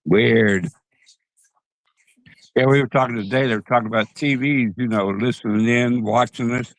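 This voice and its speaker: A man chatting loosely on a talk show, tripping over his words and starting his sentences over and over. His voice sits at an ordinary pitch. The sound is dry and close, with no room around it. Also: steady tone, moderate pace, no room sound, rough recording. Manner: rambling, stumbling restarts, chuckling asides. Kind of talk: casual chat.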